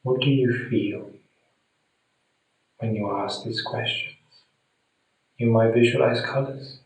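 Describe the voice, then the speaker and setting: Slow-speaking male meditation guide in echoey room. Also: medium pitch, steady tone. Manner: soothing, deliberate, with long pauses. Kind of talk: guided meditation, spoken gently to the listener. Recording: very echoey room, distant mic.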